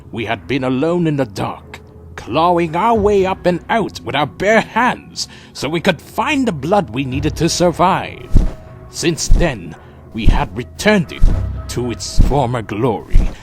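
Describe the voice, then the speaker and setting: A man playing a proud, defiant vampire clan leader in an audio drama, formal and theatrically intense. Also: mid-range voice. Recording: dramatic music behind.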